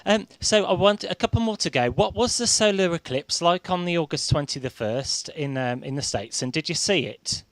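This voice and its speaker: A man with a British accent hosting a casual radio interview, halting and often repeating his words. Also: on the high side, lively intonation, no room sound. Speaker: radio show host.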